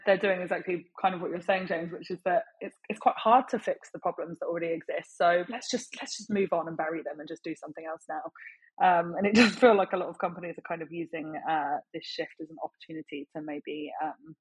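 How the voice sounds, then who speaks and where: A woman's voice: lowish voice, fairly even intonation, fast, slightly rough, rough recording. A woman guest in a podcast discussion, speaking in a thoughtful, critical way. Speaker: guest, writer and strategist.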